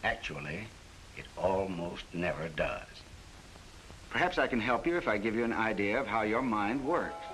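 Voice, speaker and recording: A man playing a calm, reassuring psychiatrist in a 1940s training film, explaining things in a measured way. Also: fairly low pitch, slight background noise, poor audio.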